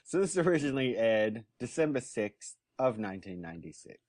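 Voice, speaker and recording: Man, mid pitch, relaxed host, dry close sound. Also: a little fast, no room sound. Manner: easygoing, laughs between remarks. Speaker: podcast host. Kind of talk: casual chat.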